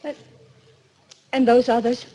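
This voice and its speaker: A frightened, earnest young heroine in an old radio thriller. Her voice is fairly high and rough-edged, the delivery urgent and melodramatic. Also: steady tone, takes it slowly, mellow. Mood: alarmed, pleading. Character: frightened, earnest young woman pleading for a mad friend. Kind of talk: 1940s radio drama acting. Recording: vintage 1940s radio recording.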